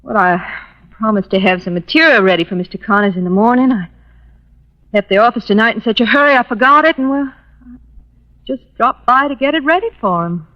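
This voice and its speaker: A woman's voice: on the high side, animated, fairly quick, highs cut off, natural voice. A woman playing a polite, flustered young office secretary in old-time radio style, with a hesitant, explaining manner on an old recording. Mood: flustered, defensive. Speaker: actress in a radio drama.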